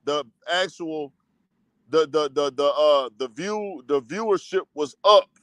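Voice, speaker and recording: A man hosts a casual livestream commentary show and rants, blunt and profane. His voice sits high and comes through smooth and clear. It is recorded close on a dry mic with no room sound. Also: slow pace, a bit bright, no echo. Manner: blunt, profane, heated rant.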